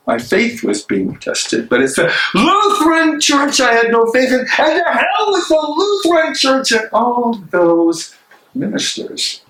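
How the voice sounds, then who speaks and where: A man's voice: high-pitched, a bit bright, heavily acted. A man playing an imprisoned, devout and defiant German theologian in a dramatic stage reading, with an impassioned, declamatory delivery. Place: library room, live reading. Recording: echoey room, distant mic, noisy.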